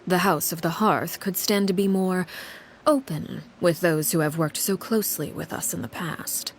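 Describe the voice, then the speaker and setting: A woman playing a composed, commanding leader in a video game, speaking formally with measured, quiet authority. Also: lowish voice, slight background noise, natural voice. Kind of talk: dramatic video game dialogue. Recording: faint music behind the voice.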